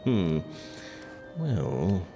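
Haughty English prince, very low voice, expressive swings, dry sardonic manner, medieval audio drama, reverberant hall. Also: male voice, heavily acted. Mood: wry. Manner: dry, sardonic, measured and pompous. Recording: reverberant hall with faint music behind.

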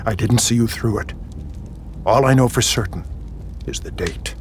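A man acting a grave seer lord in a fantasy audio drama, with a solemn, halting delivery. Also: on the low side, a little hiss or hum, close to an everyday voice. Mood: haunted, grave. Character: male seer lord, grave bearer of war visions.

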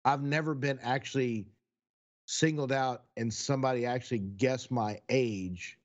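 A man's voice: mid-range voice, unhurried, lo-fi sound. A man in his sixties chatting casually on a podcast, rambling through stories. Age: 60s. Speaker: podcast co-host.

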